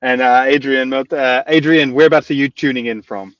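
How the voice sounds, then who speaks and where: NZ-accented male webinar host, upbeat and chatty, mid pitch, dull distant sound. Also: steady tone, normal speed, a bit bright, dry recording. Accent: New Zealand. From New Zealand. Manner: upbeat, chatty, frequent fillers and restarts. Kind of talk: live webinar hosting.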